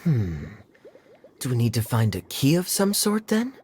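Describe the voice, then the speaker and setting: An actor playing a calm, teasing adult male knight in a game, speaking smoothly and explaining things in a relaxed way. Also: medium pitch, a little slow, a little hiss or hum. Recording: game audio with faint music.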